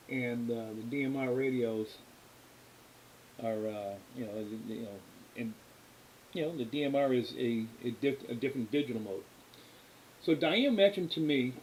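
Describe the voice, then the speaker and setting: An American man hosting a casual explanatory livestream, talking in a halting, rambling, folksy way. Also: mid-range voice, darkish tone. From New England, US. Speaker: livestream host, radio scanner hobbyist. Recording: hissy, clearly noisy background.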